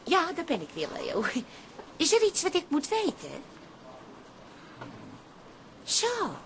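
An actress playing an exasperated, scolding, sly wife on holiday in a radio comedy. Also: female voice, very high voice, wildly swooping pitch, slow pace, gravelly, a bit bright, exaggerated voice. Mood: bossy, angry. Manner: scolding, emphatic. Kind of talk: Dutch radio comedy drama acting. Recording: faint background ambience noise.

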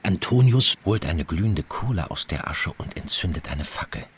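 A male narrator of a radio play with a low, deep voice. His scripted storytelling moves through a wide, lively range of pitch. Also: normal speed, a little hiss or hum.

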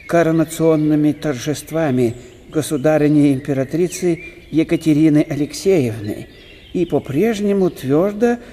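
An actor playing a polite, officious eighteenth-century professor in a radio drama, speaking formally and pompously. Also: male voice, on the high side, mellow, a little hiss or hum. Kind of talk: radio drama, letter read in character. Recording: long hall reverb.

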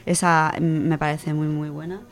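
A woman from co-hosting a radio show, chatting casually and reading a story, animated, with many fillers. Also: sits low, normal speed. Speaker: radio co-host presenting a literature section.

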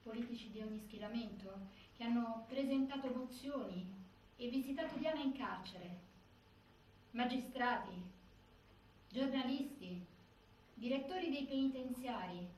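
Actress as a grieving sister giving a grave measured speech, super echoey, far from the mic. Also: female voice, a little high, a little mellow, natural voice. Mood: indignant. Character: grieving, resolute sister of a dead prisoner. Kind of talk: scripted theatrical monologue. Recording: very echoey, distant, faint noise.

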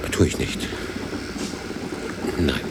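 An actor playing a brooding, despairing middle-aged man in a radio drama, with a creaky voice and halting, terse delivery. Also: background noise. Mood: curt, evasive. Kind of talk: radio drama monologue and dialogue.

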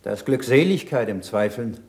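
An actor playing a thoughtful, questioning disciple of a scientist, measured and reflective, in a 1960s German radio drama. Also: male voice, medium pitch, lively intonation, a little mellow, close to an everyday voice.

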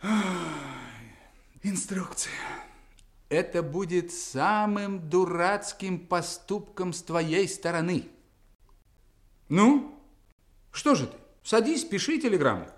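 Актёр играет хитрого, напористого, насмешливого мужчину в радиоспектакле 1960-х. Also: male voice, high-pitched, lively intonation, slow, pauses often. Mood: scornful. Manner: scoffing, insistent. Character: sly, brisk, mocking persuader of a man. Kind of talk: 1960s radio drama acting.

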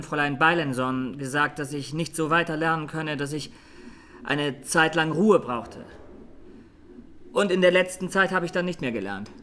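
A young student on trial in a radio drama, with a highish, clearly forward voice. He answers formally and deliberately, an earnest, traumatised defendant. Background noise and birdsong sit behind his testimony. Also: bright, forceful tone.

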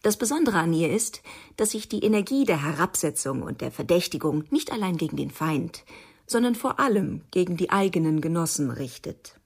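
A woman reading scripted literary narration for radio in a measured, dry way. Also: fairly low pitch, big pitch swings, husky.

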